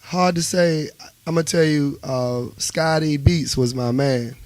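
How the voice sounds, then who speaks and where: A man's voice: fairly high pitch, slow pace, dry recording. A man with a Washington, DC accent talking casually and emphatically as a musician guest in a radio interview, often checking that he is understood. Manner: emphatic, animated storytelling with repeated check-ins.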